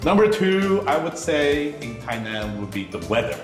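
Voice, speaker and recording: A man who interprets and co-hosts an interview, playful and quick to laugh. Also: a little high, very animated. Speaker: interpreter and co-host. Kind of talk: casual interview. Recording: echoey room with music behind.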